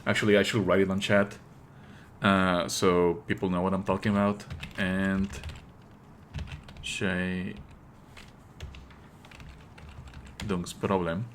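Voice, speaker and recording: A man with a fairly low but bright, forceful voice hosts a live stream. He explains things in a pedantic, opinionated way, halting between phrases and leaning hard on key words. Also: flat delivery, a little hiss or hum. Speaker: streamer and host. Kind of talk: live game stream commentary, explanatory talk.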